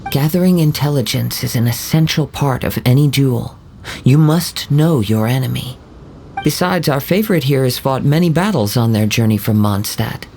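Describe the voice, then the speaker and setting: A man voicing a calm young wandering samurai who speaks in a formal, measured, courteous way. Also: mid-range voice, creaky, slight background noise, lightly acted. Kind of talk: video game voice acting.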